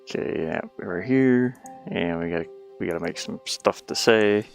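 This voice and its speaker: A man casually thinking out loud in an offhand, muttering way as he works through game development on screen. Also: low-pitched, normal speed, vocal fry, soft background music. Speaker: indie game developer, streamer. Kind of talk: casual think-aloud screen-share commentary while working.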